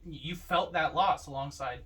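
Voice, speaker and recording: A man's voice: fairly high pitch, normal speed, fairly bright tone. A man co-hosting a podcast, talking through films in a casual, conversational way with many "you know"s. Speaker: podcast co-host. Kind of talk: casual film discussion. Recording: distant from the mic.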